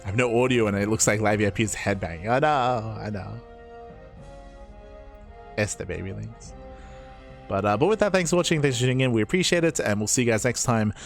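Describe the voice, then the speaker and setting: A man with a hoarse, raspy voice, an esports caster chatting casually as he signs off a stream, rambling and repeating himself. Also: lowish voice, lively intonation, a little fast, pauses often, soft background music. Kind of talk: casual stream sign-off chat.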